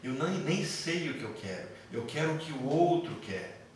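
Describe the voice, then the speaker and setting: A Brazilian man gives a classroom lecture in a slightly high voice. He explains didactically, posing questions and answering them himself. The recording is very reverberant and picked up from a distance, with faint noise. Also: very animated, moderate pace. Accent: Brazilian Portuguese. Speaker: psychoanalysis teacher. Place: classroom with students. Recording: very echoey room, distant mic, faint noise.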